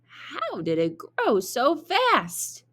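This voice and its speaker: A woman's voice: sits high, huge pitch swings, extremely slow, fairly bright tone, pitched above the performer's own voice, exaggerated voice. A woman voicing a curious, innocent young boy in a storybook, with a wide-eyed, sing-song manner. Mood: wide-eyed wonder.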